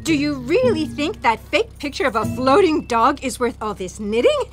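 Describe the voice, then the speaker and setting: A woman's voice: high voice, sing-song, normal speed, over music. A woman acting a spy's sarcastic, exasperated girlfriend on the run in a sci-fi comedy audio drama.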